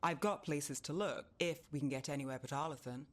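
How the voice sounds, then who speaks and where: A woman's voice: sits low, fairly quick, lightly acted. Woman playing a cool, clipped detective. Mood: businesslike. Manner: businesslike, clipped, confident. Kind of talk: video game dialogue acting.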